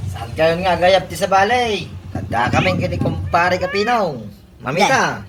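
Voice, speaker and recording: An actor playing a flirty, cheeky, excitable idle neighbourhood man in a comedy radio drama, with a Filipino accent. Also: high-pitched, normal speed, heavy background noise. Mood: eager.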